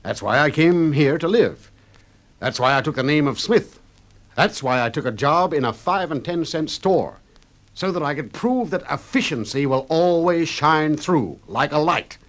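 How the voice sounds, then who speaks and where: A man's voice: on the high side, lively intonation, measured pace, a bit bright. An actor playing a self-important, exasperated millionaire businessman, brusque and emphatic, in a golden-age radio drama. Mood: proud, emphatic. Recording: vintage radio drama recording.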